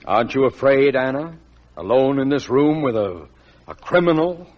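Actor doing middle-aged dad in 1940s radio play, stubborn, lively pitch, muffled old recording. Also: male voice, moderate pace, natural voice. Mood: gentle. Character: brisk, stubborn middle-aged family father.

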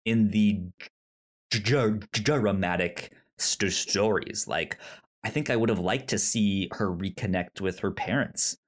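A podcast co-host in casual film discussion, speaking haltingly and often stammering on repeated syllables. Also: low voice.

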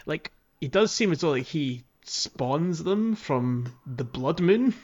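A man's voice: a little high, animated, takes it slowly, darkish tone, lo-fi sound. A man co-hosting a podcast, talking casually about a video game. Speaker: podcast co-host.

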